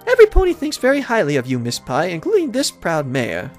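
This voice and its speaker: A man voicing a polite, motherly pony mare mayor with a formal, measured manner in a cartoon game. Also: high-pitched, huge pitch swings, faint music behind, pitched above the performer's own voice.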